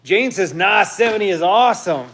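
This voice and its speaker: A man's voice: animated, normal speed, a bit bright. A man in his fifties casually hosting a live stream and reading out viewer comments. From Canada. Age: 50s. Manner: rambling, talks to his dog in a high coaxing voice.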